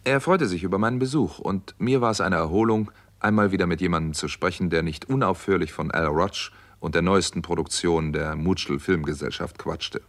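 An actor playing a calm, inquisitive doctor who narrates a crime story in a 1960s German radio drama. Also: male voice, low-pitched, a little fast, few pauses. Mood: measured narrating.

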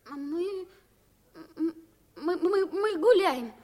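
An actress playing an earnest, excitable and naive young boy in a 1950s radio drama. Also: very high voice, slow, exaggerated voice. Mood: nervous, polite.

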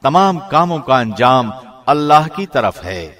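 A man giving a formal studio reading of a scripture translation, measured and solemn. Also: medium pitch. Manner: measured, solemn, even delivery. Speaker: translation reader. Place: recording studio.

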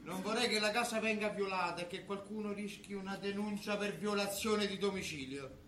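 High, bright-voiced evasive doctor played by a man. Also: a little fast, distant mic. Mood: smooth, insistent. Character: smooth, evasive doctor who is secretly scheming. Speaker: stage actor. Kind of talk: stage comedy acting. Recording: roomy theatre recording with background noise.

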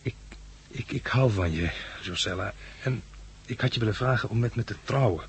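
An actor playing an earnest, gentle man in a 1960s Dutch radio drama, talking in a hesitant, conversational way. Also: on the low side, normal speed, natural voice. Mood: tender, nervous. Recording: noisy old radio recording with hum.

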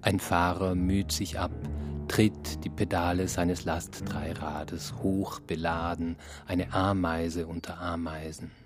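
A man with a very low voice narrates a radio drama over clearly audible music. He takes his time, speaking very slowly and placing each phrase deliberately. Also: little pitch movement. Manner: measured, deliberate phrasing with pauses. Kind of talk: second-person radio play narration. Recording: music under the voice. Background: instrumental music.

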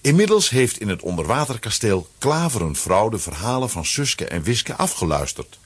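A man with a husky voice narrating a children's audio play as a dramatic scripted story. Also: lowish voice, big pitch swings, moderate pace.

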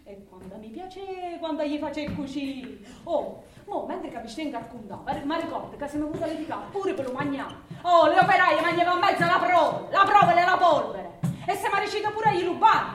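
A high woman's voice with an Abruzzese accent, a proud, earthy worker telling her story. It is colloquial stage monologue, recorded in a roomy space away from the mic. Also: lively intonation, moderate pace, a bit bright, a little hiss or hum. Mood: feisty, proud. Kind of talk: theatrical monologue, storytelling with dialect. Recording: roomy, distant microphone.

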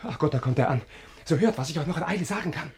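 An actor playing an ardent, anguished young lover, declaiming impassioned rhymed verse in a radio play. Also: male voice, fairly high pitch, lively intonation, fast talker, a little mellow, natural voice. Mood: pleading.

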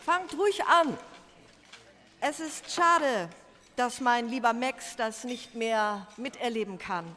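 An actress playing a wistful, sentimental older widowed mother in a German radio drama, speaking haltingly. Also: on the high side, bright, forceful tone, slight background noise. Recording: long hall reverb.

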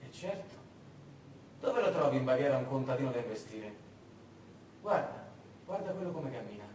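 A man's voice: big pitch swings, moderate pace, a little mellow, close to an everyday voice. Man playing a sardonic Nazi major, echoey hall. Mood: gleefully cruel. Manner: commanding, sardonic. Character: arrogant cruel German SS major, mocking and grumbling. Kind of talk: live storytelling theatre monologue. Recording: echoey, roomy, distant, faint rumbling noise.

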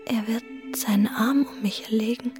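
An actress playing a dreamy, infatuated young woman in a radio play, murmuring her inner thoughts in a breathy, intimate way. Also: fairly high pitch, steady tone, a little slow, lightly acted. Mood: dreamy longing. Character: dreamy, infatuated young woman, inwardly narrating a longed-for tryst. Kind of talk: whispered radio-play monologue. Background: violin music.

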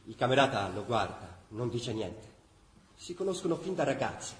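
An actor playing a storyteller who narrates a wartime chronicle in scripted radio theatre. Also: male voice, medium pitch, sing-song, moderate pace, roomy sound, slight background noise, natural voice. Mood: narrating.

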